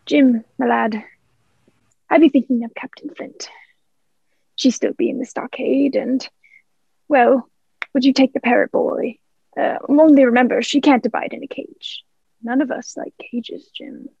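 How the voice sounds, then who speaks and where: A woman's voice: sits high, fairly even intonation, measured pace, gravelly, pauses often, a little mellow, slightly rough, no echo, exaggerated voice. A young woman playing a sly, wheedling pirate in a radio play, speaking in ingratiating old seafaring dialect. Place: indoor room, remote video recording. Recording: muffled home remote recording.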